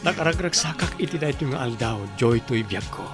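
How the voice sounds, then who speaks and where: A man's voice: mid-range voice, lively intonation, a little fast, over loud music, lightly acted. A man playing an earnest, tender young bridegroom in an Ilocano radio drama.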